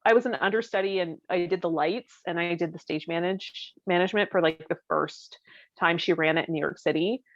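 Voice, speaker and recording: A woman's voice: on the low side, brisk, dry recording. Candid woman chatting, dry lo-fi recording. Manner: conversational, candid. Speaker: podcast co-host. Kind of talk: casual chat, personal anecdotes.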